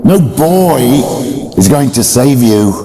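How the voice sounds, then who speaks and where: An actor playing a menacing, fanatical middle-aged father in a video game, preaching and commanding with a gravelly voice. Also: medium pitch, big pitch swings, slow pace, background noise. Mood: wrathful. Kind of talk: dramatic video game acting. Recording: loud music over the voice. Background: loud music.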